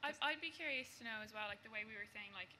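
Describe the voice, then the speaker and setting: A woman's voice: mid-range voice, fast talker, bright, forceful tone, slight background noise. A young woman on a panel, outspoken and blunt, swearing freely. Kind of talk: panel discussion.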